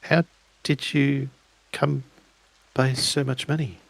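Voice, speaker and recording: A man's voice: medium pitch, little pitch movement, slow, creaky, fairly bright tone, no room sound. A man playing in a tabletop role-playing game, talking casually and describing what his character does. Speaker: tabletop role-play player.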